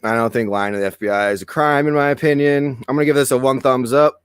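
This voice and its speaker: A man co-hosting a casual podcast, reading items out and then passing blunt verdicts. He keeps clearing a hoarse throat. His mid-pitched voice sits close and dry, with no room sound. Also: steady tone, fast talker, dry recording. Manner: reads news items aloud, clears throat often, then gives blunt opinions. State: losing his voice. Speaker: podcast co-host. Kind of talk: casual political podcast chat.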